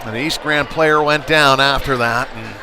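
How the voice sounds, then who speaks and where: A man's voice: mid-range voice, a little slow, music in the background, crowd noise. A man with an American accent doing live play-by-play commentary of a youth hockey game in a conversational, folksy way. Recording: loud rink noise behind the voice. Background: noisy indoor ice rink with bangs.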